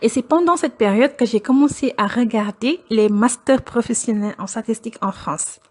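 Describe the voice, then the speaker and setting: A woman's voice: a little high, normal speed. Earnest young Senegalese woman. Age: young adult. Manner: earnest, storytelling with light laughs. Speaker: PhD student interviewee. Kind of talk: personal account in an interview.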